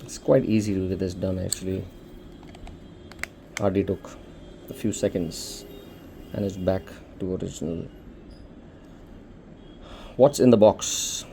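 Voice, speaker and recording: A man's voice: deep voice, steady tone, measured pace, creaky, long pauses, background noise. A man with an Indian accent casually presenting an unboxing for a shop, explaining things informally step by step. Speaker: shop presenter demonstrating a product.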